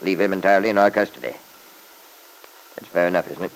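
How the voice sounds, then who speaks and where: A man's voice: low-pitched, fast, gravelly, slight background noise, low-quality recording. An actor playing a domineering, wealthy older father in an old-time radio drama, blustering and imperious. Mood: businesslike.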